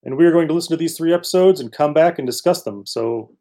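A man's voice: fairly high pitch, fast, very noisy. A man speaking as a podcast host, introducing a show.

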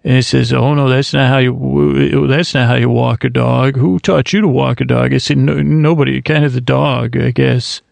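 Male podcast host, very gravelly, flat delivery, rambling monologue, close dry mic. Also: medium pitch, normal speed, hardly any pauses, no echo. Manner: meandering, self-interrupting, many restarts.

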